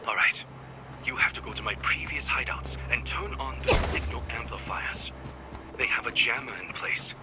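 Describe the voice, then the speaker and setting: A man voicing a terse male hacker ally who guides over the radio in a clipped, often hushed way, with game music under him. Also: mid-range voice, normal speed, natural voice. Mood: urgent. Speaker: game character. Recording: game audio with loud music.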